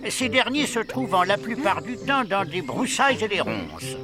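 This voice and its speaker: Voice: medium pitch, very animated, normal speed. A performer voicing a bookish, pedantic little creature, proud but nervous, in a French children's cartoon. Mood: smug, lecturing. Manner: know-it-all, lecturing. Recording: music behind the voice.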